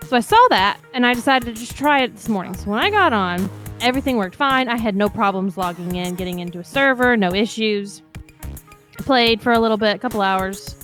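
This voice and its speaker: A woman co-hosting a live stream, telling stories in a lively, chatty way. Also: fairly high pitch, a little fast, soft background music. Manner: animated, chatty storytelling. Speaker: stream co-host. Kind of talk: casual stream chat.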